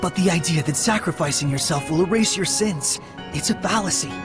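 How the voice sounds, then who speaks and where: A high-voiced young male hero from a fantasy game, acted by a man, compassionate and earnest. He speaks persuasively, with music clearly audible behind him. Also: brisk, natural voice. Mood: gentle, earnest. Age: young adult. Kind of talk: dramatic video game voice acting.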